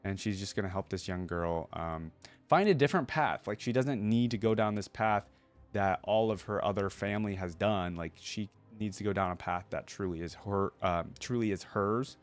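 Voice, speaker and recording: A man's voice: fairly low pitch, moderate pace, faint music behind. A man hosting a reaction video, reading a story aloud and breaking off to muse and explain in a casual way.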